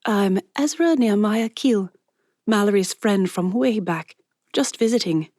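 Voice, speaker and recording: A breezy, confident woman friend with a noticeably higher, brighter lift than the narration, charming and nosy, as a woman narrator puts her on. The voice is dry and close, with no room around it. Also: a little slow, dry recording, natural voice. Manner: breezy, confident, drops French words.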